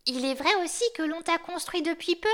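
A woman doing a high yappy old guard dog. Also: a little fast, fairly bright tone. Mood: lecturing. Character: old grumpy male yard dog, know-it-all.

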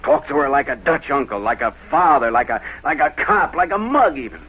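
Man playing an animated, exasperated American police lieutenant. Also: medium pitch, fairly quick, lightly acted. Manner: emphatic, folksy, exasperated. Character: weary, exasperated, tough male police lieutenant. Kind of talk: old-time radio drama acting. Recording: noisy vintage radio recording.

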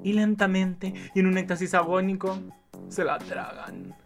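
A man playing a flamboyant, lascivious art-film director in a campy radio play, with melodramatic, pompous, drawn-out delivery. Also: sits high, level tone, over music, close to an everyday voice. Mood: rapturous.

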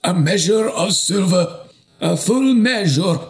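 Man voicing a breathless, high-pitched greedy bishop. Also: animated, takes it slowly, echoey room, exaggerated voice. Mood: breathless greed. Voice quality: breathy, higher than the narrator. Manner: eager, gasping. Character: greedy, gullible male bishop hungry for treasures. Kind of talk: audiobook character reading.

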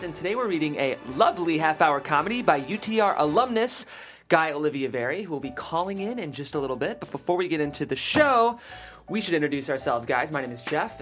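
A man's voice: on the high side, animated, quick pace, fairly bright tone, faint music behind. A man hosting and reading script directions aloud, upbeat and chatty in his presenting. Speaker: show host and stage-direction reader.

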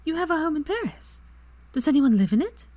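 Woman reading as an eager young witch, raised voice, lively, husky, soft whispers. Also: a little high, a little fast, dry recording, pitched above the performer's own voice. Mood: affectionate. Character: bright eager young witch, quick and warm.

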